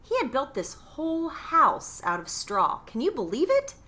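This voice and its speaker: Woman as an animated, folksy storybook wolf. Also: sits high, unhurried, pitched above the performer's own voice, exaggerated voice. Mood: wry, matter-of-fact. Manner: folksy, indignant, exaggerated storytelling. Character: cheeky self-pitying wolf telling his own story. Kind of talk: animated children's read-aloud.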